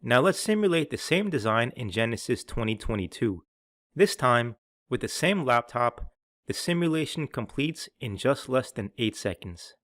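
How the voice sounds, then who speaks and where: A man reads scripted instructional narration as the presenter of a technical tutorial. His pitch sits in the middle of the male range, and he explains things in an orderly way. The sound is dry, with no room echo. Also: very animated, moderate pace, fairly bright tone.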